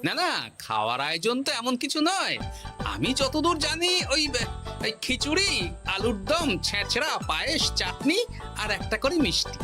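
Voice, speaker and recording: A man playing a clever, principled court jester in a comedy cartoon, speaking in a deliberate way. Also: very high voice, wildly swooping pitch, full character voice. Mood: puzzled, thoughtful. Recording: music behind the voice.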